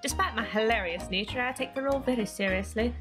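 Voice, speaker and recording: A woman's voice: fairly high pitch, fast, over music, voice pushed up, lightly acted. A woman voicing a playful, boastful female saloon keeper who teases in a western video game. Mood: self-amused. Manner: playful, teasing, self-amused. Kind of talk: video game character read-aloud.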